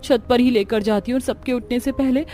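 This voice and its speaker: A woman's voice: on the high side, fairly even intonation, a little fast, warm tone, voice pushed up, lightly acted. A woman voicing a timid, secretive young daughter-in-law in a cartoon story, hesitant when questioned, with music behind. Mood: meek, troubled.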